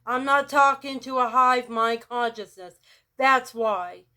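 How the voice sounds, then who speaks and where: A woman with a rural American country accent talking to camera in a blunt monologue, keeping her delivery flat and robot-like on purpose. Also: on the high side, slow, clear, resonant tone. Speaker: vlogger. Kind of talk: casual direct-to-camera monologue.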